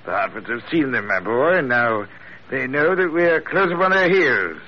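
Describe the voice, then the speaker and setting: An actor playing a theatrical, gloating gentleman blackmailer in florid, formal speech, in classic radio drama style. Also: medium pitch, animated, normal speed, lightly acted. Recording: muffled old radio broadcast, faint hiss.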